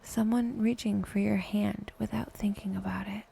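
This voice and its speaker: A woman guiding a meditation in a soft, breathy, often near-whispered voice, speaking in short phrases with long pauses. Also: medium pitch, little pitch movement, slight background noise. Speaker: meditation guide, podcast host. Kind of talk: guided meditation, soft slow scripted narration.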